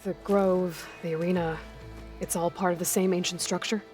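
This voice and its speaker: An actress playing a brave, blunt young huntress in a video game, with a direct, brisk manner. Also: female voice, fairly low pitch, fairly even intonation, darkish tone, faint music behind, lightly acted. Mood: determined. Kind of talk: video game dramatic acting.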